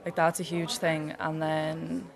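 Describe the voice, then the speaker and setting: A woman's voice: low-pitched, monotone, slow, a crowd in the background. A young Irish woman, a student, talking earnestly and chattily about living costs. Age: young adult. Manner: earnest, chatty, uses "like" often. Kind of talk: casual interview comments.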